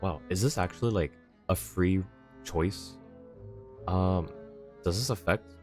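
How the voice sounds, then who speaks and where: Low-voiced man streaming a game. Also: takes it slowly. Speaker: livestreamer. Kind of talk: casual game stream commentary, reading dialogue choices aloud. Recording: game music behind the voice.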